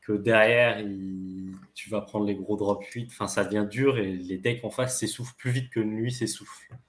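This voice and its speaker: A man's voice: fairly low pitch, fairly even intonation, moderate pace, reverberant, a little hiss or hum. A man on a card game panel, casually explaining plays step by step with trailing restarts. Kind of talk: casual strategy discussion.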